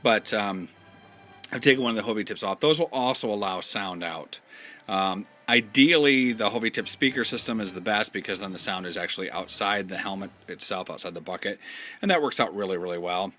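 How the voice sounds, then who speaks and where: Bright-voiced man giving a casual tutorial. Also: lowish voice, a little fast, faint music behind. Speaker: product installation instructor. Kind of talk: casual step-by-step product tutorial.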